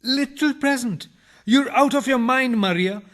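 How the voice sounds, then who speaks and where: A man's voice: very high voice, unhurried, fairly bright tone. A man acting a harried, exasperated burglar and henpecked husband in a farcical radio play, pleading melodramatically.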